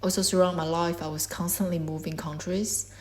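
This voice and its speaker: Soft-spoken korean-native woman in her 20s, deep-ish voice, calm reflective artist talk. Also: brisk, slight background noise. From China.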